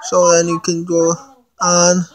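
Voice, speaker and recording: A woman giving a casual product demonstration, haltingly thinking aloud as she reads out menu items. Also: on the low side, completely flat delivery. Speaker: amateur product reviewer.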